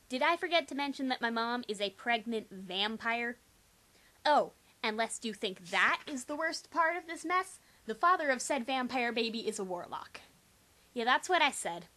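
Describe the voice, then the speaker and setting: A woman's voice: on the high side, bright, forceful tone. A young adult woman giving a sarcastic, theatrical reading of a first-person teen story, with exaggerated emphasis. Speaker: amateur reader.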